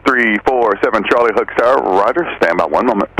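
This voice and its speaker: A man working as a tower controller, giving clipped clearances over aviation radio. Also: medium pitch, fairly quick, fairly bright tone, rough recording. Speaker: airport tower air traffic controller. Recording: aircraft radio with faint noise.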